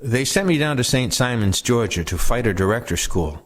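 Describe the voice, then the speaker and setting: A man's voice: medium pitch, animated, moderate pace. A man reading first-person oral history recollections aloud as scripted narration. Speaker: reader of veterans' recollections.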